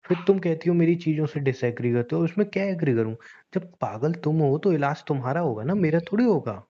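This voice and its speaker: A dull, lo-fi call recording carries a mellow young boyfriend , played by a man. He is obtuse and teasing in a casual phone drama. He argues back deadpan, feigning innocence at every turn. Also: a little high, a little fast, lightly acted. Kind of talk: staged phone-call argument in casual speech.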